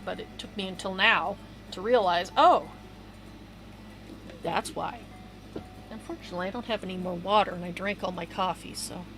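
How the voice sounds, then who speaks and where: A hoarse woman chats on her game stream, rambling from one thought to the next and laughing easily. Her voice has a gravelly edge and sits at an ordinary pitch. Bouncy game music plays clearly beneath her. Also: low-quality recording. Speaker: livestreamer. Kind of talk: casual rambling chat while gaming. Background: upbeat game music.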